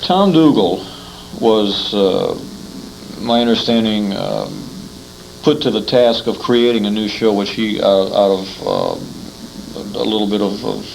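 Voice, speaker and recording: An American man conducting a conversational interview, haltingly recounting details and repeating words as he goes. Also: on the low side, big pitch swings, vocal fry, a little mellow, slight background noise, rough recording.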